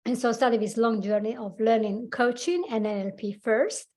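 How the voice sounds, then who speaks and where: A woman's voice: a little high, fairly even intonation, normal speed, a little mellow. A woman in her forties with an Italian accent, a coach talking animatedly as a podcast guest, laughing often and speaking slightly broken English. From Italy. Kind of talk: casual interview chat.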